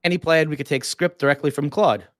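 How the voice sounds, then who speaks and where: A man's voice: on the high side, quick pace, no room sound. Man hosting a casual interview, dry sound. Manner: rambling, thinks aloud with fillers. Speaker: host.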